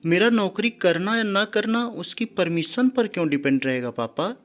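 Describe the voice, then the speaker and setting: Man voicing a determined young daughter, highish voice. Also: animated, normal speed, fairly bright tone. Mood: firm, challenging. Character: outspoken young adult daughter, hurt but determined. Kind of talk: storybook character dialogue. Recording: background music under the voice.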